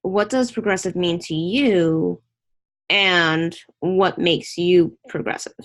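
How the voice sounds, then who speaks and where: A woman's voice: fairly low pitch, slow pace. A woman hosting a casual online interview, chatty and opinionated, rambling with restarts.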